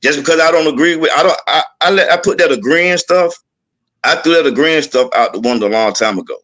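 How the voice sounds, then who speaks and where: Man guest, Southern US accent, pitch a bit high, earnest and repetitive video-call talk. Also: fast talker, a bit bright. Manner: earnest, repetitive, speaks in lists. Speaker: podcast guest. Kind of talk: testimony-style panel talk.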